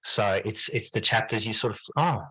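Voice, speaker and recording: A man with a low voice talks reflectively as a podcast guest. He is earnest, repeating and reworking phrases as he goes. The sound is dry, with very little room around it. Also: normal speed, bright, forceful tone, slightly rough, no echo, rough recording. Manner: earnest, repeats and rephrases himself as he thinks. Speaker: podcast guest, teacher and writer. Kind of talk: reflective interview talk.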